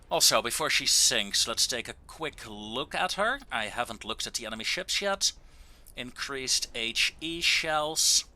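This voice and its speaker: A man giving casual, wry and understated commentary on a video game, with drawn-out pauses, into a close microphone. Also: medium pitch, sharp tone, no room sound.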